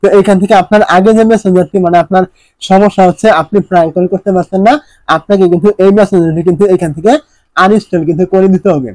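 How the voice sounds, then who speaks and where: A man's voice: high voice, steady tone, fast, a little mellow, dry recording, rough recording. A man narrating a step-by-step phone tutorial, instructing repetitively and often posing questions before answering them. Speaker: tech tutorial presenter.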